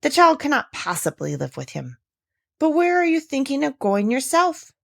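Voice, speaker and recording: A woman playing a nosy, exclamatory village gossip, lively pitch swings. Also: moderate pace, gravelly, dry recording, clean studio sound, heavily acted. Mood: doubtful, inquisitive. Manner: exclamatory, emphatic, gossipy questioning. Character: talkative, nosy village woman, alarmed and inquisitive. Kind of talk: storybook character reading.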